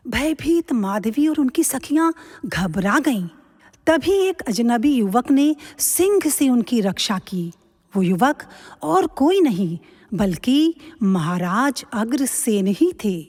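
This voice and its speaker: Animated woman narrator in long reverb. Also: a little high. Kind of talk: scripted storytelling narration for an audio drama.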